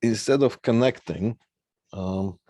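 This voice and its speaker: A man with a fairly deep voice, recorded close and dry with little room sound. He speaks as an instructor walking through technical slides. His explanations are informal and halting, punctuated by small tags that check the listener is following. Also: dark tone, no room sound.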